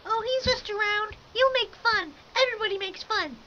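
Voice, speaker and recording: A man playing an excitable, stubborn little girl with a squeaky childlike voice, in 1950s radio drama style. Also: moderate pace. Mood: eager. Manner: eager, impatient, breathless child's chatter. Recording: dull lo-fi radio recording, faint hiss.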